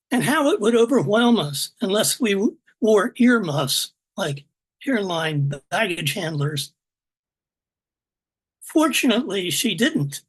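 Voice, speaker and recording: A woman reading her own poem aloud in a measured, deliberate way over a video call. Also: mid-range voice, husky. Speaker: poet reading at open mic. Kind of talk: poetry reading.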